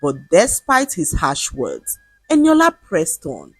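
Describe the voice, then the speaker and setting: Woman storyteller, lively rise and fall, a bit husky, soft music under. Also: fairly low pitch, no echo. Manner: measured, expressive storytelling. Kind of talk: scripted folktale narration.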